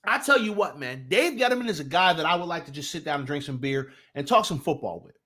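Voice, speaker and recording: A man's voice: a little high, lively intonation, fast talker, pushed and bright. A young man from New Jersey with a Jersey accent, hosting a casual live sports talk stream. He talks loosely and profanely, restarting sentences and greeting his chat.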